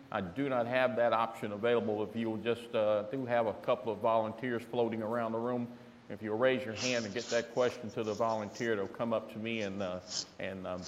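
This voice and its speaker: A man moderating a live audience Q&A, formal but unscripted, with a deliberate, halting, wordy delivery. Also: medium pitch. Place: large hall with an audience. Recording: echoey hall with faint background noise.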